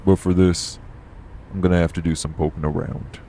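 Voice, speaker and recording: A man acts out a shady, long-lived supernatural man in an urban fantasy audio drama. His voice is extremely low and full of gravel, kept to a narrow, flat line. He sounds guarded and world-weary, with faint vehicle noise behind him. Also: normal speed. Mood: guarded, grim. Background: vehicle on the road.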